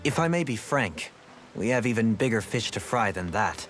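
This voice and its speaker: A man's voice: fairly low pitch, very animated, normal speed, fairly bright tone. An actor playing a refined, thoughtful nobleman turned rebel in a video game dub, eloquent, formal and pensive. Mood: serious, musing. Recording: game music playing behind. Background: background music.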